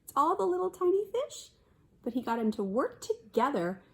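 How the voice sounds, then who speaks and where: A woman in her forties reading a picture book aloud to young children as a kindergarten teacher, expressive and explaining as she goes. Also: high-pitched, normal speed. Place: living room at home, indoors.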